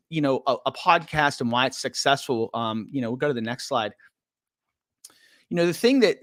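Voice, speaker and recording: A man's voice: mid-range voice, a bit bright, no echo, clean studio sound. A middle-aged man hosting a casual talk with slides, speaking loosely and chattily, with many fillers and restarts. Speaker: podcast company president and host.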